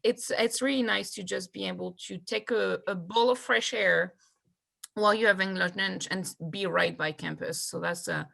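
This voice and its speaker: A woman from France co-hosting a casual panel chat over a video call, talking in an expressive, animated way. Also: medium pitch, moderate pace, vocal fry. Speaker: co-host.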